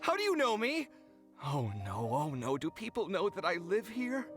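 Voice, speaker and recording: A man's voice: high voice, huge pitch swings, moderate pace, fairly bright tone, soft background music, full character voice. An actor playing a fussy, dramatic young man in a video game, flustered and exclaiming. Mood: flustered, pleading. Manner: agitated, exclaiming.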